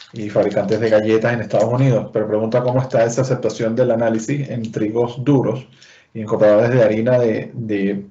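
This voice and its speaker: A man's voice: medium pitch, mellow, reverberant, lo-fi sound. A man, a native Portuguese speaker, moderating a webinar and reading out audience questions, at times searching for words.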